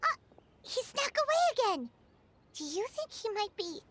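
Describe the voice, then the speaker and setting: A woman voicing a small, curious, excitable childlike fairy companion in an English video game, with a squeaky voice and exclamatory delivery. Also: measured pace, a little hiss or hum, exaggerated voice. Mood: puzzled.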